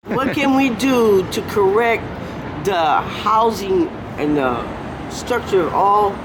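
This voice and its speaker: Halting fifty-something woman on a noisy street. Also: medium pitch. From San Francisco Bay Area. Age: 50s. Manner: halting, earnest, with many fillers. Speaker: interviewee on the street. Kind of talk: casual street interview. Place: city street outdoors. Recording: loud street traffic noise.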